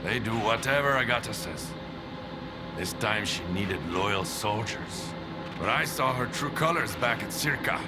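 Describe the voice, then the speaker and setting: An actor playing a weary, intense veteran stalker who talks in a low, measured, brooding way. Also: male voice, expressive, loud music behind the voice, rough recording. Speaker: veteran stalker explaining the Zone.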